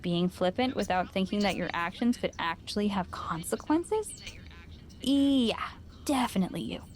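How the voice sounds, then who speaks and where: An actress playing a frank gym owner in a video game, mentoring with dry, teasing straight talk. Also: female voice, medium pitch, animated, moderate pace, lightly acted. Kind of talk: video game cutscene dialogue.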